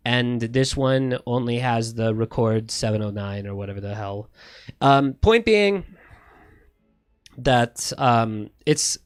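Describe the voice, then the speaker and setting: A man's voice: mid-range voice, lively intonation, takes it slowly, no echo, clean studio sound. A man with a slight lisp, chatting casually to his stream viewers in a rambling, profane, self-correcting way. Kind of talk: casual livestream chat.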